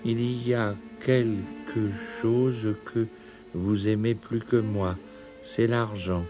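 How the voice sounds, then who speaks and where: A man voicing a sorrowful young woman's farewell letter in a storybook, gently and tearfully. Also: lowish voice, steady tone, slow pace, music in the background, pitched above the performer's own voice. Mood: sad farewell. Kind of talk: storybook dramatization.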